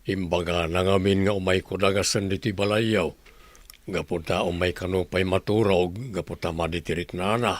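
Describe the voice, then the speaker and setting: A man's voice: low voice, steady tone, moderate pace, no echo, natural voice. A man acting an insistent, scheming young man in an audio drama, with an Ilocano accent. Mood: concerned.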